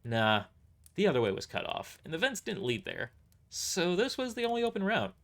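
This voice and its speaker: A man's voice: sits high, huge pitch swings, moderate pace, gravelly, a bit bright, pitched above the performer's own voice, heavily acted. A man voicing a cocky, brash mercenary squad leader in an audio drama, boastful, casual and dismissive.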